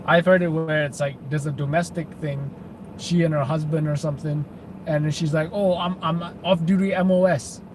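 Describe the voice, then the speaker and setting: A man's voice: fairly high pitch, steady tone, normal speed, noisy background. A man hosting a casual live commentary, chatting and explaining police radio codes while watching footage. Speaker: host.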